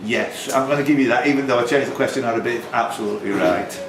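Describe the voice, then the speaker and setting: A man's voice: mid-range voice, quick pace. A man hosting a pub quiz, reading questions aloud with chatty, teasing, jokey asides. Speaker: quiz host, question reader. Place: tavern quiz room. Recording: roomy, faintly noisy live venue recording.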